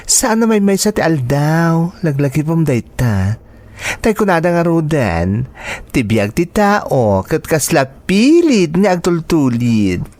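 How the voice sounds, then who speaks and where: A woman with an Ilocano accent playing a breezy, chummy young student friend in a radio drama, expressive and drawing out her words. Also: deep voice, no room sound, full character voice.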